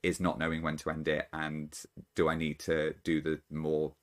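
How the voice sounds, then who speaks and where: A man hosting his own channel, giving an unscripted solo talk to camera in a thoughtful, halting way, often restarting his sentences. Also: rumbling low, completely flat delivery. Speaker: channel host.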